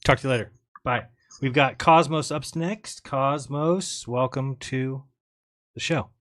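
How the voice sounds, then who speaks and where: A man's voice: mid-range voice, unhurried, fairly bright tone. A man hosting a live call-in show, chatting casually and joking with callers.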